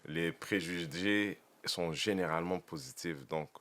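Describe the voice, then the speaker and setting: A man, speaking in a measured, deliberate way in a casual podcast discussion. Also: sits low, fairly bright tone. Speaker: podcast guest.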